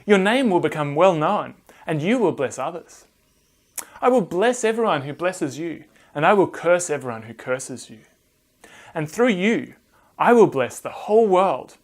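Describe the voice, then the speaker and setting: A man voicing God as a solemn, commanding deity in a children's Bible story, speaking in measured, authoritative phrases. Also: on the high side, big pitch swings.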